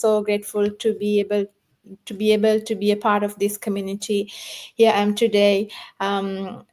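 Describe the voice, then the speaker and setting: A woman's voice: mid-range voice, darkish tone. A woman in her thirties from Sri Lanka telling her story on a video call, eager and full of fillers. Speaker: guest. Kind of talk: casual self-introduction on video call.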